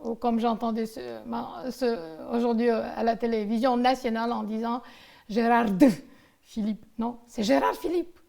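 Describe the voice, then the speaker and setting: A woman's voice: a little high, fairly even intonation, moderate pace, gravelly, mellow. A woman interviewing someone casually about film, talking in a rambling, hesitant way full of digressions.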